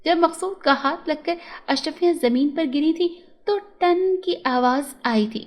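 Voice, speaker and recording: A clever, earnest young working boy from a storybook, as a woman reads him. The voice is high. He explains himself frankly and carefully. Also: measured pace.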